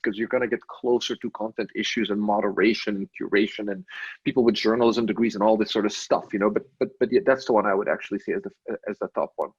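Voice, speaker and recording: A man's voice: on the low side, husky, dull audio. A man from Belgium, a podcast guest who thinks aloud in a casual interview, often checking in with "right?" and restarting his sentences. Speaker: podcast guest, tech studio lead.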